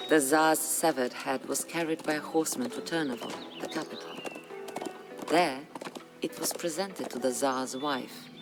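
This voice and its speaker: An actor narrating a historical game campaign as a solemn storyteller, with grave, measured, dramatic delivery. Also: mid-range voice, natural voice. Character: solemn storyteller chronicling a medieval Bulgarian uprising. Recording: music under the voice. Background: background music.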